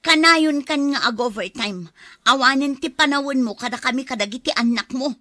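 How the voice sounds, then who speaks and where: A woman plays a hurt, suspicious wife in an Ilocano radio drama. Her voice is fairly high, sharp and plaintive, with an Ilocano Filipino accent, in a dry recording. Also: expressive, normal speed, no echo. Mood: hurt, accusing.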